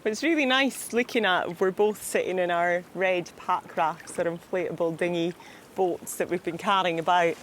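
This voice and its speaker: A woman's voice: fairly low pitch, moderate pace, slight background noise. A Scottish woman chatting in a friendly, easygoing way outdoors on a river. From Scotland. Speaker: presenter, endurance cyclist. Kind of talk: casual outdoor chat.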